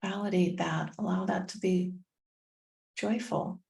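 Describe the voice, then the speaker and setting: A woman leading a guided meditation in a soothing, gentle manner, leaving long pauses between short phrases. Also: lowish voice, fairly even intonation, vocal fry. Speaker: meditation guide and tapping coach.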